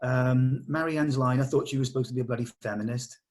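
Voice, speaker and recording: A man acts as director at a script read-through, explaining what he wants from each performer. He speaks plainly and firmly, at an average pitch, in an unscripted working style. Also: fairly even intonation, quick pace, a little mellow.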